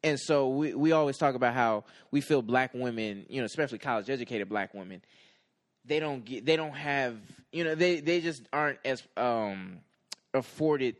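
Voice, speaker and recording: A man in his twenties in casual conversation, haltingly circling back over his phrases as he makes a point. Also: medium pitch. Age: 20s. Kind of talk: casual chat.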